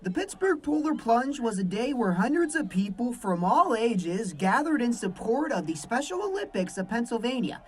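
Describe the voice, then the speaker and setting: A man's voice: extremely high pitch, very animated, moderate pace, hardly any pauses, a crowd in the background. A young American man reporting and interviewing in a presentational, announcer-like broadcast style. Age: young adult. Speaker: student sports and news reporter, interviewer.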